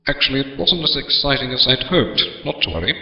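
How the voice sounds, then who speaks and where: A man's voice: medium pitch, big pitch swings, fast talker, soft background music. A British man reading scripted comic narration in a mock-serious manner. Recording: distant, dull, echoey sound.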